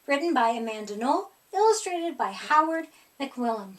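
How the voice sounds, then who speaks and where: High-voiced woman reading a children's story. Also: big pitch swings, normal speed, far from the mic. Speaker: storybook reader. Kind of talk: expressive children's story narration.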